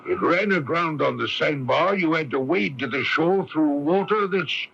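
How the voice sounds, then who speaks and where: An actor in a radio drama playing a stern, dutiful police constable who questions with formal courtesy. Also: male voice, on the high side, expressive, gravelly, a bit bright, husky, full character voice. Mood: stern, accusing. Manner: deliberate, formally polite interrogation. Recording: lo-fi radio broadcast tape with hiss.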